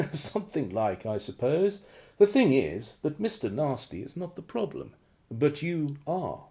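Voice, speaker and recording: An actor in a radio play playing a suave, menacing crime boss with a mockingly genteel, condescending and drawn-out delivery. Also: male voice, on the high side, sing-song, gravelly, mellow, exaggerated voice. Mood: mocking menace. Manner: theatrical, condescending, chuckling politeness with drawn-out phrases.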